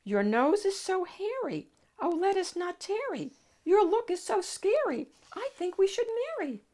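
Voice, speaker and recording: A woman's voice: very high voice, big pitch swings, unhurried, dark tone, voice pushed up, heavily acted. A woman voicing a lovestruck male ogre suitor in a storybook, reciting swooning rhymed declarations. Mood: smitten, eager. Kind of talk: storybook character voice.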